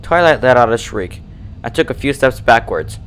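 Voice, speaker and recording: A man's voice: mid-range voice, lively intonation, moderate pace, fairly bright tone, clear, resonant tone. A man reading a horror fan fiction aloud as its narrator, in a scripted storytelling style.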